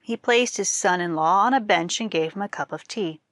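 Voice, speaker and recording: A woman storyteller reading a folktale aloud with expressive narration, as for a library audience. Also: medium pitch, normal speed, no room sound.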